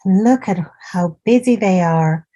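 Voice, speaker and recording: A woman's voice: medium pitch, slow, mellow. A woman hosting a children's storytime, reading a picture book aloud and chatting to young listeners in an encouraging way as she points out details. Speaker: children's storytime host and reader.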